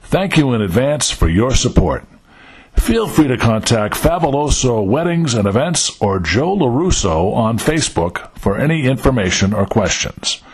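A man's voice: mid-range voice, sing-song, normal speed, slightly rough. A man reading a scripted charity announcement. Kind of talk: scripted charity appeal read.

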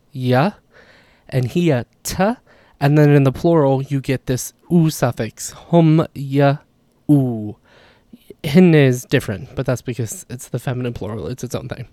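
A man's voice: medium pitch, darkish tone, dry recording. A man casually explaining language features, chatty and self-deprecating, with apologetic asides and a Levantine Arabic background. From Levantine, Palestinian or Jordanian Arabic background. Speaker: language educator.

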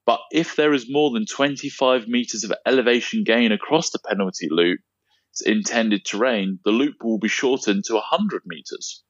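A man's voice: mid-range voice, normal speed, no room sound. A man co-hosting a podcast in casual chat, laughing often and reading out race news. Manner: laughs often, relaxed and chatty. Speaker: podcast co-host. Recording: dull lo-fi, dry sound.

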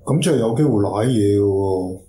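A man's voice: fairly low pitch, expressive, normal speed, mellow, natural voice. A man acting a blunt, suspicious office schemer in a radio drama, speaking slangy Hong Kong Cantonese. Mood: blunt, probing. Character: blunt, suspicious man checking up on shady jobs. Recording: roomy echo with clear background noise.

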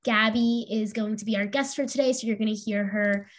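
A woman's voice: medium pitch, steady tone, a little fast. A young adult American woman chatting casually as a podcast co-host. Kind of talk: casual chat, introducing a guest.

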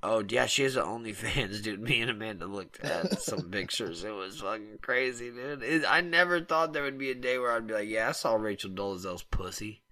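A man's voice: on the low side, lively intonation, fairly quick, few pauses, bright, forceful tone. A man co-hosting a comedy podcast, trading crude, joking banter and riffing on absurd ideas. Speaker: podcast co-host.

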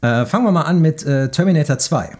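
A man's voice: a little high, lively intonation, fast talker, a little mellow. A man hosting a casual film quiz on a podcast, asking questions and chatting. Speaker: podcast host and quizmaster.

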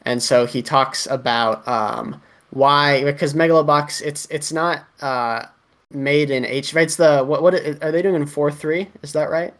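A man's voice: fairly high pitch, fairly even intonation, a little slow, fairly bright tone. A man co-hosting a casual anime podcast, rambling through his points with lots of fillers, restarts and "like". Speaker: podcast co-host.

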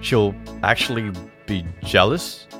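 A man voicing an eager, naive, lovestruck customer in a storybook tale, earnest and excitable. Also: on the low side, slow pace, soft background music. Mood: rapturous. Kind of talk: storybook character voice in a read-aloud tale.

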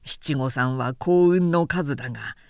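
A woman's voice: extremely low pitch, a little slow, darkish tone, no echo, studio quality, voice pushed down. A woman voicing a garrulous, self-important middle-aged samurai man who lectures at length in a storybook reading. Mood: chatty, self-satisfied. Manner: long-winded, pompous, lecturing.

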